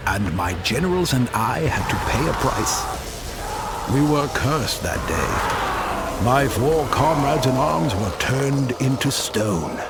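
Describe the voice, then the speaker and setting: Gravelly, world-weary immortal warrior. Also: male voice, medium pitch, lively intonation, heavy background noise. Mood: regretful, weary. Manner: grave, measured, wistful. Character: immortal ancient warrior, regretful and weary, recounting his curse. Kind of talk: solemn dramatic storytelling. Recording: loud music under the voice.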